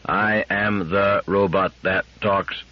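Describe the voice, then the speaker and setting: A man voicing a big talking robot in a storybook, slow, monotone and mechanical. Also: low voice, muffled recording. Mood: flat, mechanical. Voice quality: mechanical, accentless. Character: immobile talking robot, toneless, slow, literal-minded.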